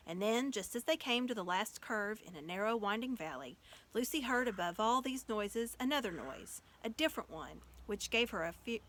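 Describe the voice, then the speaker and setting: A woman's voice: mid-range voice, a bit bright. A woman narrating a children's story expressively as an audiobook reader. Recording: faint background noise.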